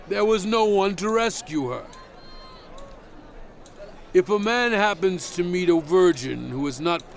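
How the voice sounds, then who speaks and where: Guy acting as stern old-world prophet reading out the law, super slow n grave, creaky voice, bible drama style. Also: sits high, heavily acted. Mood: stern, emphatic. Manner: grave, deliberate, emphatic delivery. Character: solemn, stern male prophet proclaiming ancient law. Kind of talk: dramatized scripture narration.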